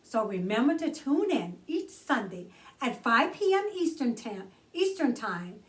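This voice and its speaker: A woman reads a children's story aloud as a storytime host, with a high voice that swings widely in pitch. Her delivery is slow and deliberate, leaning on key words with drawn-out emphasis. She sounds slightly distant from the microphone. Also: very animated.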